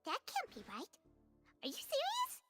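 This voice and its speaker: A woman voicing a small, excitable, smug fairy girl in an anime game, with a squeaky childlike voice and boastful delivery. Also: moderate pace, over music, heavily acted. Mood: flustered, dramatic. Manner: boastful, exclamatory.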